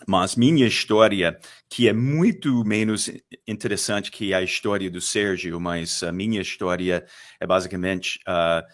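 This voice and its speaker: A middle-aged man from Texas with a Texan American accent and English as his first language, chatting as a podcast guest with halting speech and frequent fillers. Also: low voice. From Texas, USA. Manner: halting, many fillers, laughs easily. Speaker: guest, fund manager. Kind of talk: casual podcast chat.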